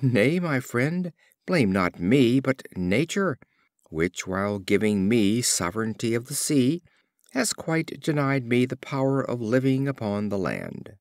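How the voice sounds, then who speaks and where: Man voicing courtly dolphin, very animated. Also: medium pitch, measured pace, no echo, clean studio sound. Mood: earnest protest. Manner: earnest, formal. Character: proud sea dolphin, courteously defending itself.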